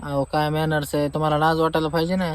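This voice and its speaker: Comic phone skit: man acting an aggrieved rural Marathi farmer, high voice, exasperated, mocking and sarcastic. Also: level tone, quick pace, a little hiss or hum, natural voice. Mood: indignant, mocking. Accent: Marathi, rural Maharashtra. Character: aggrieved, sarcastic male farmer demanding refund. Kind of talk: staged comic phone-call argument.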